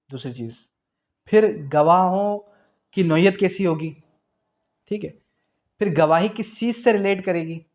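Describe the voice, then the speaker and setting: Higher-pitched Pakistani man lecturing. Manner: didactic, asks and answers own questions; repeats key phrases for emphasis. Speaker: law teacher. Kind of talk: exam revision lecture.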